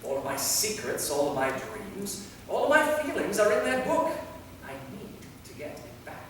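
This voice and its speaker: A man's voice: high voice, big pitch swings, moderate pace, fairly bright tone. A man acting an anxious, earnest, centuries-old vampire knight in a live staged play reading. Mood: earnest, pleading. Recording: echoey hall from far off, faint noise.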